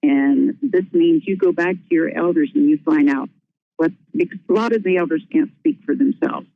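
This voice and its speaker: A panelist with a gravelly voice, offering informal advice. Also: medium pitch, animated, moderate pace, no room sound. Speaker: panel speaker. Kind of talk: informal, advisory panel remarks. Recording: thin phone-line audio.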